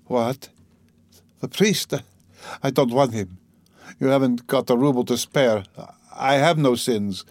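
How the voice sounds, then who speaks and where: A man's voice: mid-range voice, slow pace, pauses often, a little mellow, dry recording, lightly acted. A man voicing a dying, delirious and bitter sick mother in an audiobook, faint and gasping, with a fretful, rambling, dramatic delivery. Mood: bitter, defiant.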